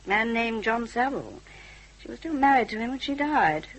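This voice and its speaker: A woman's voice: a little high, animated, fairly quick, poor audio. A woman playing a cool, guarded, worldly journalist with a dry manner, in classic radio drama style. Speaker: actor in detective drama.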